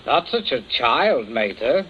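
A man's voice: medium pitch, sing-song, takes it slowly, breathy edge, full character voice. An actor playing a flippant, cheeky young man in a 1940s radio thriller, drawling schoolboy slang. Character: flippant, cheeky grown son of the family. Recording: dull, noisy 1940s radio recording.

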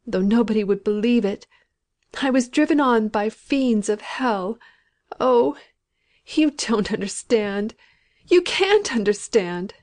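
A woman reading a storybook, voicing a frantic, terrified dying woman of about sixty who pleads in rough country speech. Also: on the high side, a little mellow, natural voice.

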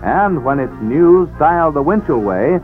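A male announcer delivers a 1940s radio promo in a highish voice. The broadcast sounds thin and tinny, with faint music behind him. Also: very animated, measured pace.

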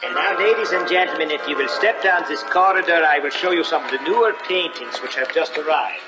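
A man's voice: fairly high pitch, fairly quick, loud music behind the voice, lightly acted. Male actor as fussy gallery dealer in old radio drama, lightish voice, muffled noisy recording. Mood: formal, showy. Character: polite, evasive male art dealer showing paintings.

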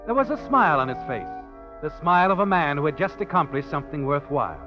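Sardonic American storyteller, highish animated voice, old radio suspense, dramatic music behind. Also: male voice, fast. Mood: knowing, ominous. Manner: knowing, dramatic. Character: sardonic male storyteller of sinister crime tales. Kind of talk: 1940s radio suspense narration. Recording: muffled vintage radio recording.

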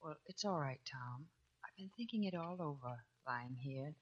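Low, soft-spoken wounded woman. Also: animated. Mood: wistful. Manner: soft, gentle, halting. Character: tender, wounded woman bidding a sailor farewell. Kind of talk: 1940s radio drama acting. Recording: dull, distant 1940s radio broadcast.

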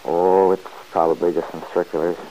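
A man playing a restless, stubborn middle-aged man who answers gruffly and defensively, in 1940s radio acting. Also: deep voice, flat delivery, fairly quick, mellow, a little hiss or hum, lightly acted, poor audio.

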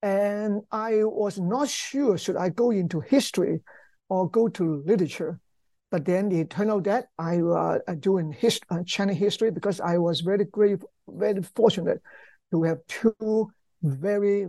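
Older Hong Kong man, gravelly and mellow. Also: sits high, no room sound. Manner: halting, repeats words, non-native English phrasing. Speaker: history professor, author being interviewed. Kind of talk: conversational interview.

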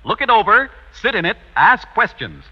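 A man reading an excited 1950s radio commercial as an announcer. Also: high voice, moderate pace, slightly rough. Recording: dull vintage radio, long reverb.

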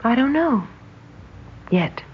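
An actress playing a gentle, wistful young woman in a 1960s radio drama, softly apologetic and hesitant. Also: a little high, very animated, slow, breathy edge, full character voice. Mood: dreamy, hushed. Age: young adult. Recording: dull vintage radio recording.

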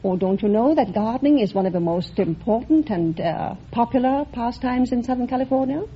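A woman's voice: fairly high pitch, animated, a little fast, slight background noise. A woman contestant on a quiz show, answering questions politely and earnestly. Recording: dull old radio recording.

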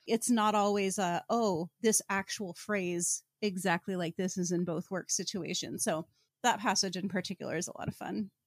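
A woman's voice: medium pitch, steady tone, moderate pace, no room sound, clean studio sound. A woman co-hosting a casual book discussion podcast, with a wry, slangy manner and playfully dramatic asides. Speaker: podcast co-host.

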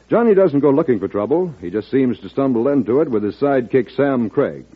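A male American announcer reads promos in 1940s network radio style. His mid-pitched voice is a touch husky and comes through a thin, tinny vintage broadcast.

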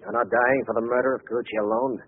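A man playing a stern, unmoved prison warden in 1940s radio acting, with a gravelly voice. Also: medium pitch, very animated, fast talker. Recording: tinny, hissy old radio recording.

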